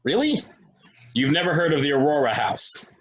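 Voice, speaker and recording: A man's voice: fairly high pitch, sing-song, moderate pace, rough recording. A man at a stage play table reading, playing a cynical, troubled local who talks bluntly and dismissively. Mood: assertive.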